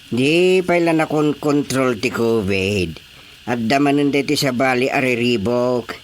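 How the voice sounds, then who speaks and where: An actor with an Ilocano Filipino accent playing a brooding man with a drawn-out delivery in a radio drama. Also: mid-range voice, a little hiss or hum, lightly acted.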